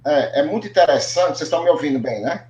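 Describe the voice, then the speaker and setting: Male Brazilian panel host, fairly high voice, conversational and hesitant, heard through an echoey video call. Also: expressive, fast, darkish tone, muffled recording. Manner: hesitant, repeats words while framing questions. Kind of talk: conversational moderating on a live online panel. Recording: echoey room over online call.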